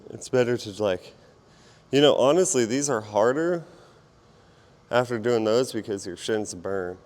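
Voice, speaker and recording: A man with a hoarse, partly lost voice talks casually and self-deprecatingly as a fitness vlogger while he exercises. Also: mid-range voice, animated, measured pace, a little mellow. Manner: informal, self-deprecating, rambling while exerting himself. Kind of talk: casual workout vlog chat while exercising.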